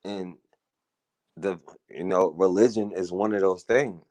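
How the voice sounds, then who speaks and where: A man's voice: sits low, fairly even intonation, unhurried, darkish tone, dry recording. A man delivers an earnest, conversational radio monologue. He checks in with his listeners through "you know" and "right?". The sound is dry and close. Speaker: talk radio host. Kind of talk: casual monologue commentary.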